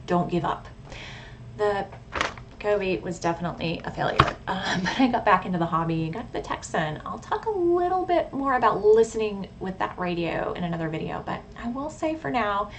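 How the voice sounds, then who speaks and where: Woman vlogger, noisy recording. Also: medium pitch. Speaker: video blogger. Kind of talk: casual, reflective talk to viewers about hobbies. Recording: clear background noise.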